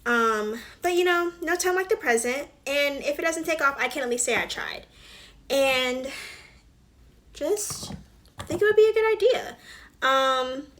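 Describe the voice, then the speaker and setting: A woman's voice: high-pitched, expressive, measured pace, fairly bright tone, a little hiss or hum. A woman, chatting casually while doing her makeup, with lots of fillers and the odd whispered aside. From Alabama. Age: 20s. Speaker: beauty vlogger. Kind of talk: casual get-ready-with-me chat.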